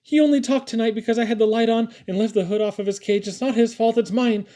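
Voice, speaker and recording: A man's voice: high voice, sing-song, moderate pace, darkish tone, voice pushed up. A man voicing a melodramatic, argumentative young girl, the sister in a story. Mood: desperate pleading.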